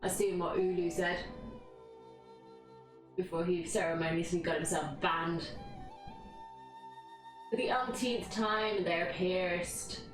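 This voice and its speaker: A woman's voice: on the low side, fairly even intonation, slow, pauses often. A woman with a London accent leading a casual live yoga class, chatting and bantering informally with viewers. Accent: London English. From London, England. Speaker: yoga instructor and live streamer. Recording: echoey room, distant mic, music behind. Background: music playing.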